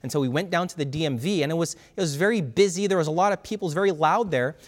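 A man, a pastor, preaching a sermon in a loud, fervent way, with emphatic repetition. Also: fairly high pitch, rapid-fire. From Brazil. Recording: long hall reverb.

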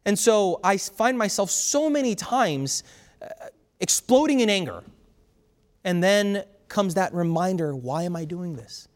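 Pastor, male, from Brazil. Loud, emphatic, fervent delivery, fairly high voice, long hall reverb. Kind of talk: sermon.

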